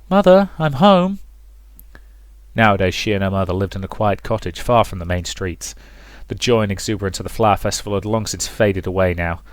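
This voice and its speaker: Casting: male let's play narrator, fairly low voice, dramatic storytelling read, dry recording with little room. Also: no room sound.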